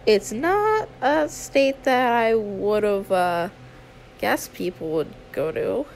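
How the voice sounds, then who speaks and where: Woman acting creaky-voiced, friendly young YouTuber. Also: medium pitch, expressive, takes it slowly, slight background noise. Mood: friendly, hesitant. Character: friendly, trusting young female YouTuber meeting a fan. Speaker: roleplay audio performer. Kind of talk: ASMR roleplay, conversational acting.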